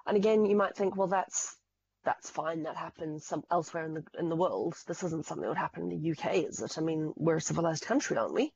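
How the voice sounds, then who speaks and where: A woman's voice: sits low, fast talker, vocal fry, a little mellow, husky, highs cut off. A woman from Zimbabwe with a Zimbabwean accent, a podcast guest explaining things in an earnest, fact-heavy way with frequent restarts. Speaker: podcast guest, fraud specialist. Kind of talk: explanatory interview talk.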